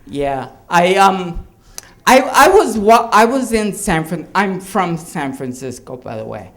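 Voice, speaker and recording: A male stand-up comedian from Hawaii telling jokes on stage in a halting way, with restarts and chuckles. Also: high voice, very animated, fairly bright tone.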